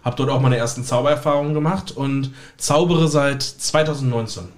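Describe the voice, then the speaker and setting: Podcast guest, male, twenties, medium-pitched voice, informal conversational delivery. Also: a little fast. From North Rhine-Westphalia, Germany. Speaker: podcast guest, stage magician. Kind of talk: casual chat.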